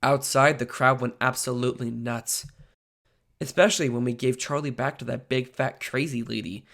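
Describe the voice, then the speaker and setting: A young man playing an earnest, excitable teenage amateur superhero in a comic dub, with some self-deprecation. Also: medium pitch, normal speed, vocal fry. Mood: wry, excited narration. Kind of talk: comic book dub acting, first-person narration.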